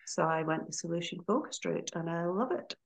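A middle-aged woman with a Scottish accent from Aberdeen talks in a relaxed, chatty way as a podcast guest. Her voice sits fairly low, and she laughs easily as she goes along. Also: fairly quick, vocal fry. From Scotland. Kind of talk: casual interview chat.

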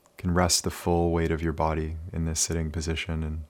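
A man leading a guided meditation in a calm, soothing, unhurried manner with many pauses. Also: very deep voice.

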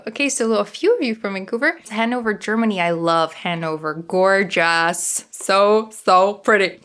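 A woman's voice: mid-range voice, lively intonation, normal speed, fairly bright tone. A woman in her thirties from Canada with a slight Ukrainian accent, chatting on a casual live stream as host, bubbly and giggly. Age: 30s. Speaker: skin health host.

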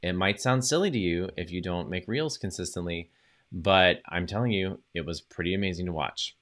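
A man's voice: sits low, moderate pace, a bit bright. A man hosting his own podcast, talking in an upbeat, chatty solo monologue with fillers and self-corrections. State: recovering from lost voice. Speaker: podcast host and social media coach.

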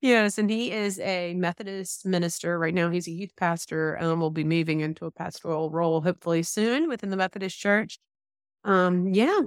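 Southern woman from Georgia, 30s, low voice, dry close sound, easygoing podcast guest. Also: normal speed, clean tone, dry recording. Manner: easygoing, laughs, self-deprecating. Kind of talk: casual interview chat.

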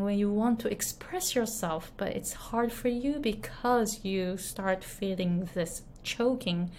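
A woman from Japan coaching viewers on their voices, speaking slowly in a mid-range pitch. She talks gently, pausing and restarting her sentences often. A faint hiss sits under the recording. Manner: gentle, halting with many restarts and fillers. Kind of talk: video lesson talking to camera.

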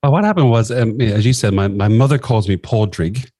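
A man's voice: on the low side, brisk, dark tone, no echo, studio quality. A man in his fifties with an Irish accent, telling personal stories conversationally as a podcast guest, in a wry, self-effacing way. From southwest of Ireland. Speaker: podcast guest, former nurse and coach.

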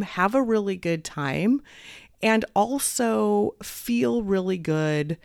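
Chatty woman coach with a cold, bit raspy, encouraging, slow easy talk. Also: lowish voice, dry recording, clean studio sound. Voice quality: slightly raspy. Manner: upbeat, encouraging, faith-based. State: head cold, clearing her throat. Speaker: podcast host and weight coach. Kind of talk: casual solo podcast talk.

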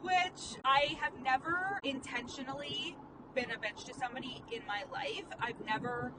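A young woman hosting a casual monologue while driving, candid and quick, full of "like" and the odd curse. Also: sits high. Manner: candid, fast, peppered with "like" and profanity. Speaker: podcast host and coach. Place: inside a car. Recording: distant mic with road noise. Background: car and street noise.

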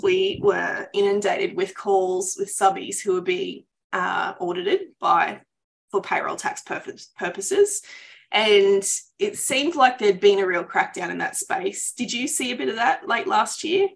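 A woman's voice: mid-range voice, lively intonation, unhurried, vocal fry, slight background noise. A woman hosting a trade business podcast and interviewing her guest in a friendly, casual way. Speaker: podcast host.

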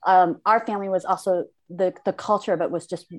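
A woman born in Korea, speaking in a reflective conversation with thoughtful, halting phrasing and frequent restarts. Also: lowish voice, fairly even intonation, darkish tone.

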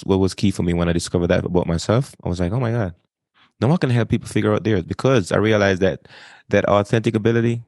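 A man, talking as an interview guest in an energetic way, often repeating and restarting phrases. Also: sits low, no room sound. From Jamaica. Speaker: guest, wisdom coach. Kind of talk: casual interview talk.

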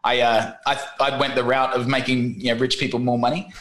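Australian man, thirties, guest on a casual podcast, lively storyteller who slips into quick impressions. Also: medium pitch, rough recording. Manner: animated storyteller, acts out voices in anecdotes.

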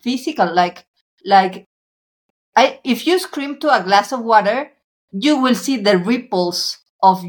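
A woman's voice: medium pitch, animated, measured pace. A woman hosting a podcast, speaking in a reflective, affirming way. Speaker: podcast host. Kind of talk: casual podcast conversation.

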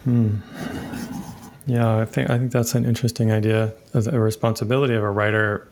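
A man's voice: lowish voice, level tone, a little fast, darkish tone, slight background noise. A man speaking as an interview guest and author, casual and reflective, hesitant, with many small informal filler words.

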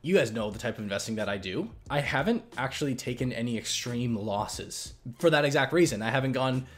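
A man hosting a casual question-and-answer chat on investing, talking in a relaxed, rambling way with many fillers and restarts. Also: mid-range voice, a little fast. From Canada. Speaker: investing channel host.

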